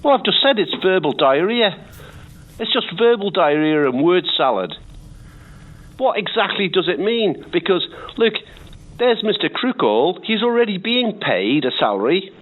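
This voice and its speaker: A man calling a radio phone-in with a croaky voice, sounding indignant and sarcastic. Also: high voice, very animated, moderate pace. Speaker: caller. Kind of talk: phone-in call, reading out and criticising. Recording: tinny phone line.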